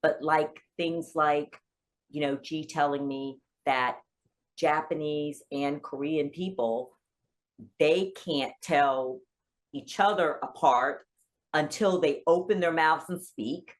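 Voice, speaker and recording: A woman from rural South Carolina, a family law attorney, telling stories as a podcast guest with animated excitement. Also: slow. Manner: animated storyteller, pitch jumps high when excited. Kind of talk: storytelling in casual interview.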